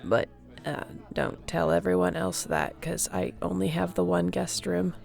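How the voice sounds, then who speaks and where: A woman playing a friendly, easygoing young surfer girl in an audio roleplay, chatting casually and welcomingly with a creaky voice and laid-back slang. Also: lowish voice, flat delivery, measured pace, a little mellow, soft background music. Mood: reassuring.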